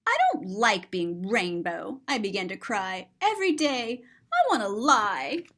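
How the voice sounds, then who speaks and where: A woman's voice: mid-range voice, expressive, a little slow, fairly bright tone. A woman voicing a sensitive, big-hearted little girl who tells her own story in an earnest, rhyming storybook style. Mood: sad, hurt.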